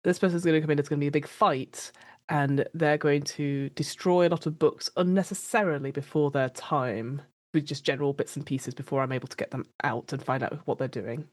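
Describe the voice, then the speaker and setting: A woman's voice: sits low, steady tone, brisk. A woman chatting casually and improvising as a player in a tabletop role-playing game. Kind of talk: improvised in-character play, casual chat.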